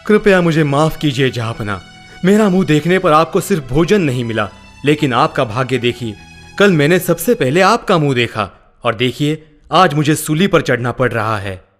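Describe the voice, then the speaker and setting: Man playing a pleading, high-pitched unlucky commoner. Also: lively intonation, normal speed, faint music behind, lightly acted. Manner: pleading, deferential. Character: unlucky condemned commoner, pleading, respectful yet clever. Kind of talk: children's animated story dialogue.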